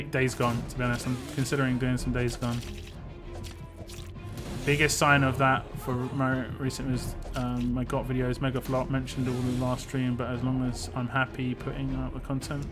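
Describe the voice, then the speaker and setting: A man's voice: medium pitch, flat delivery, moderate pace. A man chatting casually on a live stream while he plays a game, rambling and answering chat. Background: game music.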